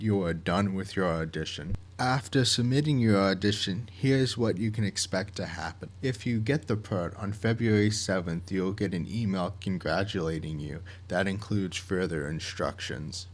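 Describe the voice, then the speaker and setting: A man with a fairly low voice delivers scripted instructional narration. He goes through each step methodically, with measured, plain wording, as someone directing a project would.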